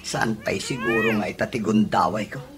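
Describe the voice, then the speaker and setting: An actor playing a worried, defeated husband in an Ilocano radio comedy drama. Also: male voice, fairly low pitch, fairly even intonation, normal speed, background noise, lightly acted. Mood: dejected. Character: worried, defeated husband and election candidate, deep in debt. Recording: radio drama with prominent music behind.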